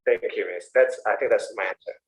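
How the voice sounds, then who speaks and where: A man's voice: lowish voice, brisk. A man with an Indonesian accent, an English instructor explaining and encouraging students in an online class. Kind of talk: answering questions in an online class. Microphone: online video call.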